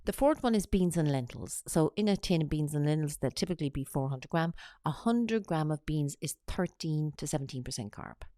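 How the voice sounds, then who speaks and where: A woman talks through a slide presentation. She has a cold and explains each point in a steady, teacherly way. The sound is close and dry, with no room around it. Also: sits low, fairly quick, few pauses, no room sound. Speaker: weight-loss coach.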